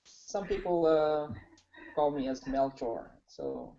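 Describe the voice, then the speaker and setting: A man's voice: fairly high pitch, wildly swooping pitch, slow pace, mellow, slight background noise. A man from the Philippines with a Filipino accent, a polite, hesitant student chatting in an online class over a video call. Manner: polite, hesitant with many uhs. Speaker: language student. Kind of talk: casual chat in class. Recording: dull lo-fi video call audio.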